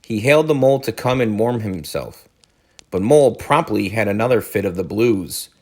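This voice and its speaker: A man's voice: fairly low pitch, animated, moderate pace, darkish tone. A man reading a story aloud as a teacher, adding informal explanatory asides.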